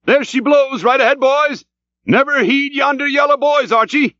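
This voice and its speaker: A man's voice: very high-pitched, moderate pace, bright, forceful tone, no room sound, dull audio, voice pushed up. A man voicing a cheery little whaleboat officer who hollers heartily to his crew in an audiobook. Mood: cheery, rallying.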